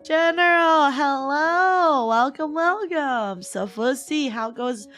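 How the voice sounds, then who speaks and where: A woman with a fairly high voice that is hoarse and cracks as it gives out. She talks at an unhurried pace, playful and giggly, as she hosts a casual livestream and answers chat. Music plays behind her. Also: animated, fairly bright tone. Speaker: livestream host, VTuber. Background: background music.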